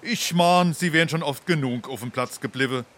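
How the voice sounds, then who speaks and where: A man's voice: fairly high pitch, a little fast, a bit bright, lightly acted. An actor playing a mocking, unimpressed working townsman in Darmstadt Hessian dialect comedy, dry and down-to-earth. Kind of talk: dialect stage comedy acting.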